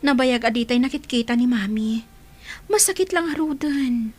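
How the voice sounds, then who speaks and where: A woman's voice: fairly high pitch, expressive, normal speed, music in the background, lightly acted. A woman playing an affectionate, eager granddaughter in an Ilocano radio drama, with an Ilocano accent. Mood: caring, coaxing.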